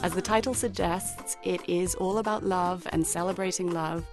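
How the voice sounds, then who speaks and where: A woman's voice: fairly low pitch, fairly even intonation, moderate pace, loud music behind the voice. Reflective woman with British-Kenyan accent. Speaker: radio producer. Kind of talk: personal scripted radio segment.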